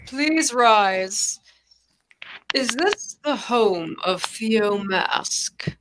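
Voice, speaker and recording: A woman's voice: on the high side, expressive, extremely slow, background noise. A woman playing a gracious, pompous German king in a table read of a stage comedy. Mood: regal, gracious. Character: stately, gracious German king handing out rewards.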